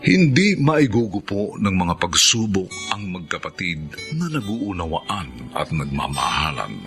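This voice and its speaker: Deep, slow, gravelly Filipino radio narrator, male. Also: sing-song, music in the background. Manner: solemn, dramatic delivery. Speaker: radio drama narrator and director. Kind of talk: scripted narration.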